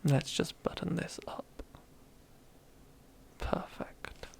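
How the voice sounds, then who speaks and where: A woman's voice: drawn-out words, a little mellow. A woman playing a domineering, teasing female boss in an ASMR roleplay, half-whispering in a seductive, commanding way. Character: domineering, teasing female boss relishing power over old rival.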